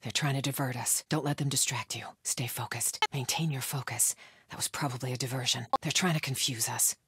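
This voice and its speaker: A woman's voice: a bass voice, fairly even intonation, brisk, fairly bright tone, husky, no room sound, studio quality, exaggerated voice. A woman voicing a grim, watchful cult hunter in a video game, with terse, guarded search calls. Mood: wary, focused. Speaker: enemy character in a video game. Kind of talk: video game combat barks.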